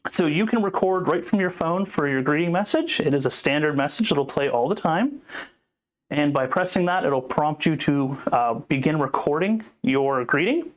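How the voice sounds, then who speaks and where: A man with a slightly high-pitched voice leads an informal tutorial. He explains each step plainly, like a support presenter walking someone through instructions. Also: animated, normal speed.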